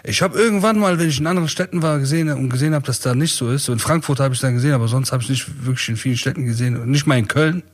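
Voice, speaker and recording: A man's voice: mid-range voice, very fast, hardly any pauses. A man in his forties from Iran, co-hosting a podcast and chatting casually in street slang, calling his partner brother. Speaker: podcast co-host. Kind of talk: casual chat.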